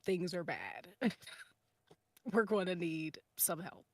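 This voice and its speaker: A woman's voice: fairly low pitch, very animated, takes it slowly, dry recording. A woman on a podcast panel, chatting casually and appreciatively in a hesitant, rambling way. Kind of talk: casual group chat.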